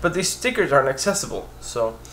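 A young man with a fairly high voice talks straight to the camera in a casual vlog. He is earnest and animated, and his pitch climbs when he presses a point. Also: brisk, slight background noise. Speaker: vlogger on blindness. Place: indoors, a green-screen backdrop of store photos.

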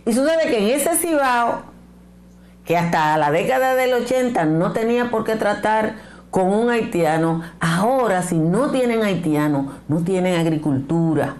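A woman's voice: mid-range voice, animated, measured pace, darkish tone. An older woman from the Dominican Republic with a Dominican Spanish accent, delivering blunt, emphatic opinion commentary to camera on a lapel mic in a small studio. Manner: emphatic and blunt, gesturing with her hands. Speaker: political commentator. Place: small indoor studio set with bookshelves.

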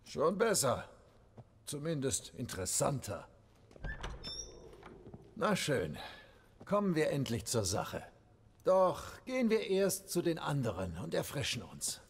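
Languid, swaggering bandit nobleman, swooping pitch. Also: wildly swooping pitch, slow pace, vocal fry, long pauses, slight background noise, full character voice. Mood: amused, commanding. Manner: languid, sardonic, capricious. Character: jaded, swaggering male bandit leader and nobleman. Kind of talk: dramatic video game acting.

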